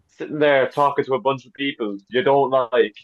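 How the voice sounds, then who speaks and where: A man's voice: medium pitch, moderate pace, no echo, phone-quality sound. A man co-hosting a podcast chat with dry jokes and mock grumbling. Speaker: podcast co-host. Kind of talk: casual chat over a video call.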